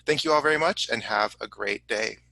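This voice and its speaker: A man hosting a casual live question-and-answer program, speaking haltingly as he relays audience questions. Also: medium pitch, gravelly, sharp tone, dry recording. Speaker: host of a science museum online program.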